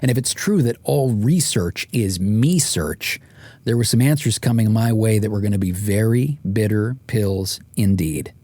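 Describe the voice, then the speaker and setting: A man reading his own nonfiction audiobook as author and host, in measured, deliberate first-person narration. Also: fairly low pitch, warm tone, no room sound.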